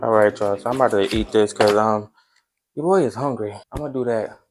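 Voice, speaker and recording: A young man chatting casually to his vlog viewers while he works in his kitchen at home. He talks in a relaxed, chatty way and keeps calling the audience "y'all". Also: fairly low pitch, fairly quick, soft background music. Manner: laid-back, chatty, addresses viewers as y'all. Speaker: vlogger.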